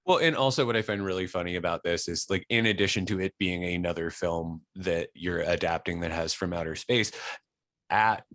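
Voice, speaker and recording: A man's voice: low-pitched, brisk, fairly bright tone, dry recording, clean studio sound. A man working as an interviewer, asking questions in an informal, conversational way. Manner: informal, peppered with "like".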